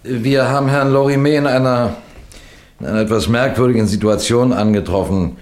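An actor playing a shrewd, genial middle-aged detective who questions and narrates in a measured, gently teasing way, in the style of a 1960s German radio mystery. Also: male voice, medium pitch, close to an everyday voice. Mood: polite, probing. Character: shrewd, genial police detective narrating his own case.

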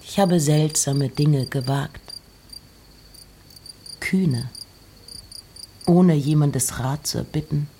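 A woman gives a hushed, measured literary recitation in a husky, breathy voice that often nears a whisper. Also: low-pitched, a little hiss or hum. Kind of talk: slow literary recitation of poems and letters.

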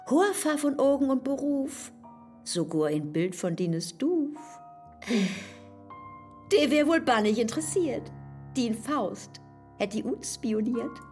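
A woman's voice: high-pitched, lively intonation, takes it slowly, pauses often, darkish tone, soft background music. An actress with a northern German accent playing an outspoken, mocking woman friend in a verse radio play, emphatic and teasing. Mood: curious, conspiratorial. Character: brash, shrewd, outspoken female confidante, fearless and mocking.

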